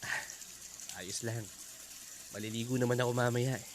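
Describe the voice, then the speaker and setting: A man's voice: mid-range voice, flat delivery, normal speed, background noise, lightly acted. A man acting a helpful, modest, easygoing houseguest in a Filipino radio drama. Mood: soft, helpful.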